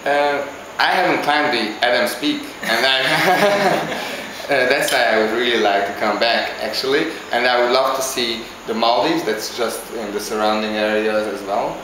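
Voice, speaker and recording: A man from Hungary, answering interview questions in a halting, casual way as he searches for words. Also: mid-range voice, noisy background. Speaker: interviewee, visiting traveler. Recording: very echoey with clear background ambience.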